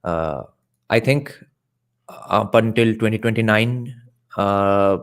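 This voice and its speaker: A man's voice: lowish voice, slow pace. A man hosting a casual reaction stream, thinking aloud and answering chat. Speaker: podcast host reacting to a video.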